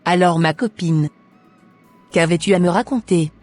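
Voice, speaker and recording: A woman's voice: on the low side, normal speed, a little mellow, no echo, soft background music, close to an everyday voice. A woman voicing a gossiping teenage schoolgirl who dismisses a classmate. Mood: gossipy.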